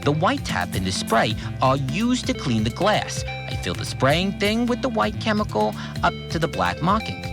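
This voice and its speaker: A man playing a blunt, irritable, obsessively tidy cleaner in a first-person audio drama, plain-spoken and quick to swear. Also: sits high, wildly swooping pitch, creaky, music in the background, full character voice. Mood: methodical. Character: obsessively orderly, irritable male cleaner, simple-minded rule follower. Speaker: voice actor in a fiction podcast.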